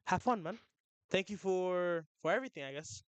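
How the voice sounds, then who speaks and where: Young man, excitable streamer, rambling, smooth clear voice, slightly high, dry close sound. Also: normal speed, no room sound. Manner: excitable, rambling, trips over words. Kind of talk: casual livestream chat.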